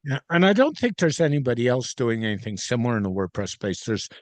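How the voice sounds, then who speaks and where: A male podcast host chats in a friendly, agreeable way, building on his guest's points. His voice sits at a middle pitch. It is recorded close and dry, with no room echo. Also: big pitch swings, fast. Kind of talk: casual interview chat.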